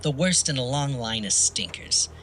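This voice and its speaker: Man playing a bright, cynical 1940s wisecracking critic. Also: medium pitch, lively intonation, moderate pace, slight background noise, natural voice. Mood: brash, dismissive. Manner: sneering, slangy, needling. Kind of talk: film noir audio drama acting.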